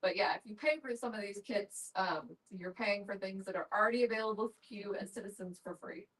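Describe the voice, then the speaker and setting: A woman's voice: medium pitch, brisk, a bit bright. Female, informative and practical group speaker, emphatic on details, picked up from a distance. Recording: distant mic in group discussion.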